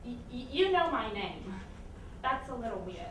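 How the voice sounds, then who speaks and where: A woman playing a wary, awkward therapy patient in a comedic stage play, halting and repeating her words. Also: fairly high pitch, sing-song. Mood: wary, defensive. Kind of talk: comedic live stage acting. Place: small college theater stage, distant camera. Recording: distant, very echoey, noisy stage recording.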